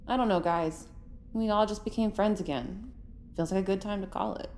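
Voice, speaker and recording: A woman's voice: mid-range voice, normal speed, darkish tone, slight background noise, lightly acted. An actress playing a wry, playful young woman in an audio drama, with a jokey manner.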